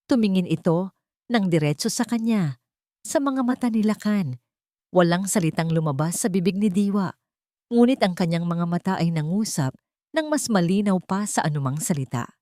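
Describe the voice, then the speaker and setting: A woman reading a dramatic scripted story as narrator, in a measured and solemn delivery. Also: on the low side, animated, dark tone, no echo, clean studio sound.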